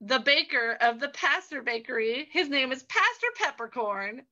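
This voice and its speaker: A woman narrator with a high, bright voice. She tells an improvised tale like a storybook, sounding amused as she makes it up. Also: animated, moderate pace, lo-fi sound.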